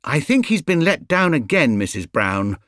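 A man's voice: fairly high pitch, sing-song, moderate pace, a bit bright, dry recording, studio quality, voice pushed up. A British man voicing a small, polite, earnest young bear with an important-sounding manner in a storybook.

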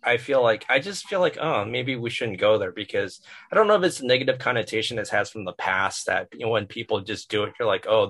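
A man's voice: mid-range voice, sharp tone, breathy edge. A man hosting a casual podcast, thinking aloud and asking long, open questions. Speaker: podcast host. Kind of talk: casual chat.

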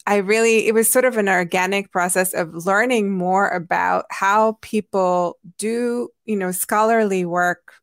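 A woman who grew up in Hungary, answering interview questions in a reflective way, often pausing with fillers and restarting her sentences. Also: medium pitch, steady tone, dry recording, clean studio sound. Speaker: guest, anthropologist.

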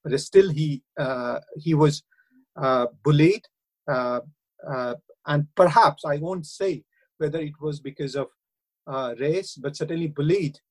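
A middle-aged man with an Indian accent, speaking earnestly and haltingly in a radio interview, with frequent hesitations. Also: fairly high pitch, low-quality recording. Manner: earnest, hesitant, many uh fillers. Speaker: councillor and university lecturer.

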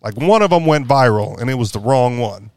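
A man's voice: medium pitch, animated, brisk, a little mellow, no room sound, studio quality. A man hosting a podcast in casual political chat, rambling and correcting himself with a wry tone. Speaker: podcast host.

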